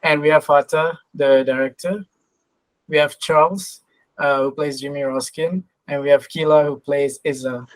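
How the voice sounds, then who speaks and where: Higher-voiced man hosting, close dry sound. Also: steady tone, moderate pace, dry recording, poor audio. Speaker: podcast host, interviewer. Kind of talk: casual interview.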